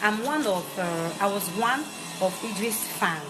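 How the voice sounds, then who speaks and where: A woman partly of Nigerian background, not a native English speaker, ranting bluntly to camera in halting English with many restarts. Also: mid-range voice, lively intonation, music in the background, poor audio. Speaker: vlogger. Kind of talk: direct-to-camera rant. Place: Ivory Coast.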